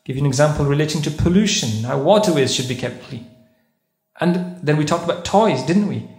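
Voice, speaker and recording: A man's voice: a little high, lively intonation, fairly quick, a little mellow. A man giving a sermon-style lecture at a mosque, putting questions to the audience and reciting Arabic verses. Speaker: visiting speaker at a mosque conference. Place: carpeted mosque prayer hall, indoors. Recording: echoey mosque hall.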